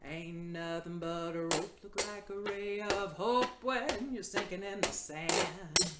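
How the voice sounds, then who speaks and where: A woman's voice: on the low side, takes it slowly. A woman singing in a musical theatre style, as a performer in a stage musical. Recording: noisy, roomy recording with clicks.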